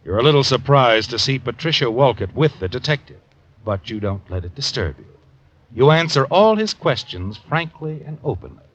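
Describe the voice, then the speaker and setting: Sinister taunting radio narrator, swinging pitch. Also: male voice, sing-song, fairly bright tone. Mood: taunting, ominous. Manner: slow taunting second-person address with dramatic swings. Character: sinister all-knowing male narrator, taunting and sardonic. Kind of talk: 1950s radio suspense narration. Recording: dull old radio recording with music.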